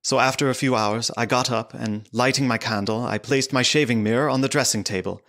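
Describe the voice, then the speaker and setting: A man playing a frightened young English gentleman prisoner, giving formal, dramatic diary narration in a gothic audio drama. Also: mid-range voice, fairly even intonation, a little fast, close to an everyday voice. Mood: grim, uneasy. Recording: music underneath the voice.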